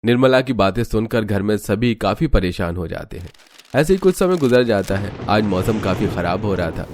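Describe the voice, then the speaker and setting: A man's voice: fairly low pitch, animated, moderate pace, a little mellow, a little hiss or hum. A man narrating a scripted cartoon story.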